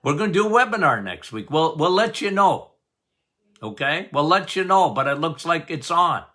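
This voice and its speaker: An older man hosting a health podcast as a doctor, talking loudly and passionately, with frequent laughs. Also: fairly high pitch, sing-song, moderate pace. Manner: loud, emphatic, passionate, laughs often. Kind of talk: casual health podcast talk.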